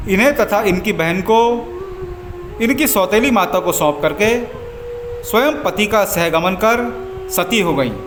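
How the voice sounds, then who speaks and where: A man narrating a scripted religious story as a devotional storyteller, in measured, formal phrases with frequent pauses. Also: high-pitched, background noise. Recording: echoey voice over background music. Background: background music.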